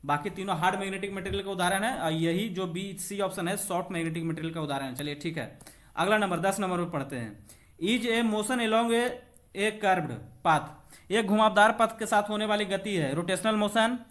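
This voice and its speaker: A man's voice: high voice, normal speed, a bit bright. A man teaching an exam class, working through practice questions, repeating key points and checking in with short confirmations, mixing in English terms. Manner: switches between Hindi and English when reading aloud.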